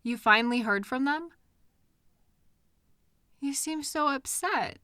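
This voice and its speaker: A woman's voice: high voice, no room sound, clean studio sound, full character voice. A woman playing a caring partner in an intimate comfort roleplay, speaking tenderly and expressively straight to the listener. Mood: concerned, puzzled. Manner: tender, expressive, with soft pauses and questions. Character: caring, gently indignant woman comforting her upset partner. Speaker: audio roleplay performer. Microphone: close microphone in a dry room.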